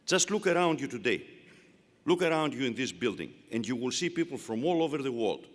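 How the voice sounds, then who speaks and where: A man with a Greek accent giving a formal, measured commencement address to graduates. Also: mid-range voice, big pitch swings. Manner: measured, emphatic oratory. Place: large indoor arena. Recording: long arena reverb.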